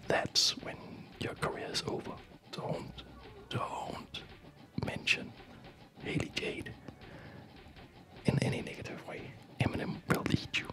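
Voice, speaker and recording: A man doing a whispered ASMR gaming commentary, rambling and often stopping to restart his thoughts, close to the microphone. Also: bright, forceful tone. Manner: rambling, halting, self-interrupting. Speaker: ASMR gaming video host.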